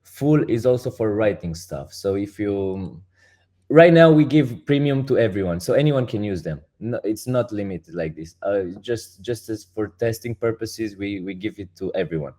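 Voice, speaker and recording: A man with a Romanian accent talks through his project as a guest, in a low-pitched, warm, mellow voice. He restarts his sentences often and builds his points step by step. The sound is casual and conversational. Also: expressive, normal speed. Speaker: guest, crypto project founder. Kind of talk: casual interview talk.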